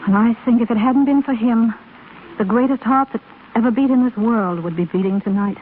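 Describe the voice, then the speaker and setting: A woman's voice: on the high side, brisk, natural voice. Woman acting a ladylike heartsore widow, old radio play, slight hiss, tinny. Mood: bitter, grieving. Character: grieving, genteel widow, emotional but gracious. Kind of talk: 1930s radio drama acting. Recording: scratchy, tinny 1930s radio broadcast recording.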